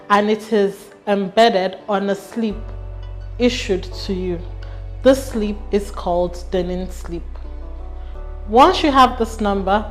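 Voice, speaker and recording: A woman presenting a scripted explainer. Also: mid-range voice. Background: music.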